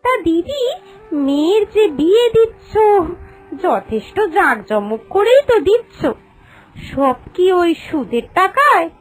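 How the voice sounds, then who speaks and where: A woman voicing a spiteful, sharp-tongued young sister-in-law in a cartoon, taunting and argumentative. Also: very high voice, big pitch swings, takes it slowly, heavily acted, low-quality recording. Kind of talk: Bengali cartoon voice acting.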